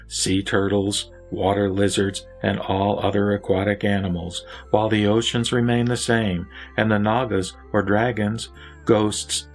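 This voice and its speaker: A man voicing a wise male lay sage and patient teacher, speaking in a slow, measured, solemn way. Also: medium pitch, close to an everyday voice. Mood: teaching. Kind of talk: scripted audio drama dialogue.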